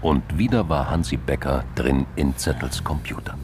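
Male crime drama narrator, extremely low and creaky, scripted delivery over music. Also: animated, moderate pace. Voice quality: gravelly.

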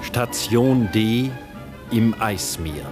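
A man reading the opening credits of a radio play, slow and solemn. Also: fairly low pitch, expressive, loud music behind the voice. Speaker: radio announcer.

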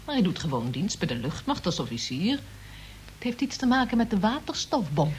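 A woman's voice: lowish voice, sing-song, brisk, slight background noise. An actress in a Dutch radio play playing a frank, flighty young woman with a blunt, matter-of-fact manner.

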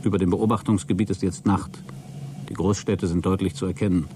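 A man's voice: low-pitched, steady tone, a little fast, warm tone, a little hiss or hum, lightly acted. An actor playing a wry male astronaut in a radio play, giving clipped, matter-of-fact flight reports.

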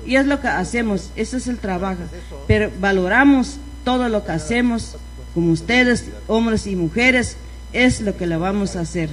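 Woman from Guatemala, earnest public testimony, plain-spoken, mid pitch, event mic at a distance. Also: normal speed, fairly bright tone. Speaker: migrant woman speaking at a community event.

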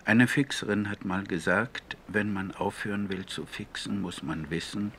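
A man conducting a reflective documentary radio interview in deliberate, measured, earnest phrasing, on a 1970s recording. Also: fairly low pitch, a bit bright, a little hiss or hum.

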